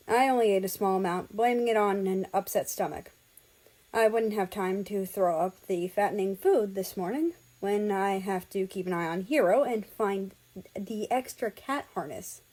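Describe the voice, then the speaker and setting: A woman narrates a piece of amateur fiction in a medium-pitched voice. She reads it aloud as a storyteller, in the manner of a homemade audiobook. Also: mellow, low-quality recording. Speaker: audiobook-style reader of fan fiction.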